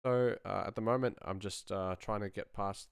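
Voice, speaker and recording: Low-voiced man chatting on a live stream. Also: steady tone, normal speed, gravelly, smooth, no echo, clean studio sound. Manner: rambling, thinking aloud while working. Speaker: sound designer hosting a live stream. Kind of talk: casual live-stream chat with tutorial.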